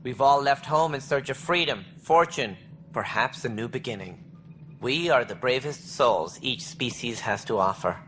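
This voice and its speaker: An actor playing an officious alien station director in a video game, speaking precisely and formally with a wry, earnest streak. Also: male voice, mid-range voice, animated, normal speed, a bit bright, soft background music, lightly acted. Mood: earnest, stately. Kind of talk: video game dialogue acting.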